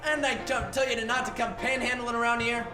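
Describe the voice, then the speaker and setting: A man's voice: very high voice, flat delivery, fast, reverberant, music in the background. A man playing a brusque, threatening, slangy tough guy in a 1940s-style radio play. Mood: angry, contemptuous. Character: gruff, hostile tough-guy bartender or cop.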